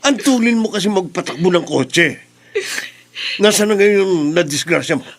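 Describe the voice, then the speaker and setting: A man's voice: sits high, animated, moderate pace, slight background noise. An actor playing an irritable, scolding middle-aged father in a Filipino radio drama, with a Filipino accent. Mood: alarmed, scolding. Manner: scolding, exasperated.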